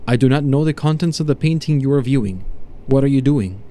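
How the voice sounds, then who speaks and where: A man's voice: medium pitch, brisk, mellow, lightly acted. A man voicing a detached research doctor in a horror audio story, clinical, polite and unemotional. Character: detached, formal male research doctor running an experiment.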